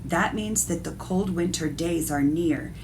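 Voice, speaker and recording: A woman reading the part of a motherly storybook rabbit, gently instructing her little one. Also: on the low side, unhurried, slight background noise. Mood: motherly advice.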